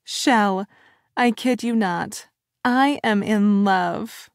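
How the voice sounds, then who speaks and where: A woman's voice: mid-range voice, drawn-out words, no room sound, studio quality. A woman voicing a giddy young woman newly in love, gushing and teasing her friend.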